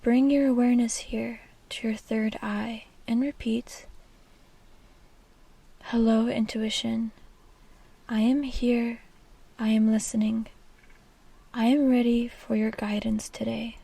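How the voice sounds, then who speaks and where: A woman leading a guided meditation in a soft, breathy, half-whispered and soothing delivery. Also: a little high, flat delivery, slow pace, pauses often, no room sound.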